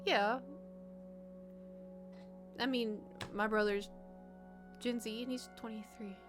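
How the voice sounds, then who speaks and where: A woman's voice: a little high, fairly even intonation, slow pace. A woman from the United States, a gaming streamer rambling in casual chat and answering her chat. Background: background music.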